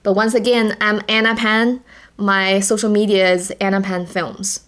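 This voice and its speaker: A woman's voice: mid-range voice, flat delivery, normal speed, a bit bright. A woman with a Chinese accent, English not her first language, co-hosting a casual podcast and often restarting words as she searches for phrasing. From China. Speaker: podcast co-host.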